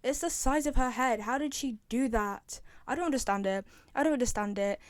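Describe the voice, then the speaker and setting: A woman's voice: on the high side, fairly quick, no room sound. A woman chatting casually and giving opinions as a fan commentator, rambling and repeating herself for emphasis. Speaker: fan commentator ranking game voice lines.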